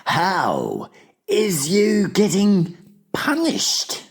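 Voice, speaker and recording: A performer voicing a gentle, emotional giant in a children's audiobook, with muddled childlike grammar and exclamations. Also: medium pitch, huge pitch swings, very slow, slightly rough, voice pushed up, heavily acted. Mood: curious, concerned.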